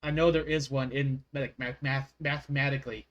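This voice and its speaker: A man's voice: medium pitch, fairly even intonation, normal speed, muffled recording. A man running a tabletop game, chatting casually and rambling off on tangents with frequent laughs. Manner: rambling, laughs often, self-mocking. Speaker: game master.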